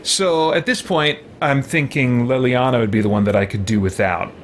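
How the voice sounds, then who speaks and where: A man's voice: medium pitch, normal speed, fairly bright tone, a little hiss or hum. A man hosting a roleplay let's play, thinking aloud in casual commentary. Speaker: gaming channel host.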